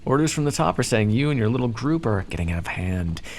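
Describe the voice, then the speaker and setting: A man's voice: medium pitch, sing-song, fast talker, a little mellow, slight background noise. A man voicing a smug, playfully menacing vampire captor in an ASMR roleplay, speaking to the listener in a theatrical, condescending and teasing way. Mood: coolly businesslike. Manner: condescending, theatrical, teasing drawl with pet names.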